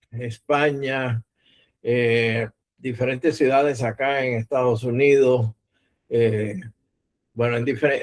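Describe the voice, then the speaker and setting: A man's voice: a little high, a little mellow. A man from Puerto Rico with a Puerto Rican accent, a guest teacher opening a class on a video call, joking about himself. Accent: Puerto Rican Spanish.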